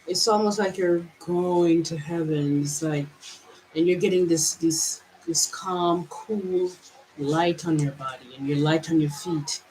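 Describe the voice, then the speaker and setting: A woman from Jamaica, a spiritual vlogger, musing aloud to her livestream viewers in halting phrases, with many restarts and drawn-out words. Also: low-pitched, steady tone, a little mellow, rough recording. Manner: halting, with frequent restarts and stretched, slowed phrases. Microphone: camera mic.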